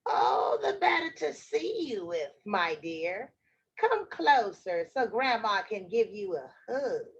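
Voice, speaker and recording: A scratchy-voiced wolf pretends to be a sickly old grandmother. The sly storybook voice swings widely in pitch and takes its time. The recording is slightly dull. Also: very animated, slow pace. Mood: coaxing.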